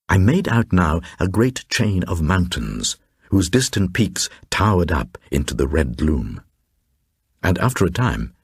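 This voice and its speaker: A man playing a haunted, awestruck recluse, narrating gothic horror in a solemn, measured way with suspenseful pauses in a radio drama. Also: sits low, slightly rough, no room sound, studio quality, heavily acted. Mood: awed, solemn. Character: haunted male recluse recounting terrifying visions, grave and awestruck. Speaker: narrator reading a horror novel.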